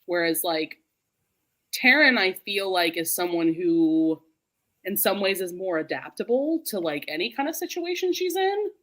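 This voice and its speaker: A woman hosting a book discussion in a casual, animated way, full of "like" and quick to laugh. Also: on the low side. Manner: animated, laughs readily while talking; informal, heavy use of "like". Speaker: host of a book readalong live show. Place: remote group video call.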